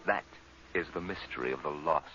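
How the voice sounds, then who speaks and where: Deep, dramatic radio narrator over music. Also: male voice. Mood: solemn. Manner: dramatic, measured, solemn delivery. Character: grave, portentous male science fiction narrator. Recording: muffled vintage radio recording.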